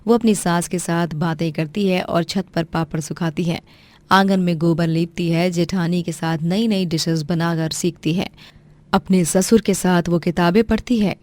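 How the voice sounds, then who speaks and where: A woman's voice: lowish voice, steady tone, normal speed, darkish tone. A woman reading a scripted moral story as its narrator.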